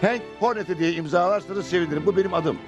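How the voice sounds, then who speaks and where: A man's voice: high voice, fast, dull audio, lightly acted. A man playing a chatty, starstruck fan of cowboys in a cartoon dub. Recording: music under the voice.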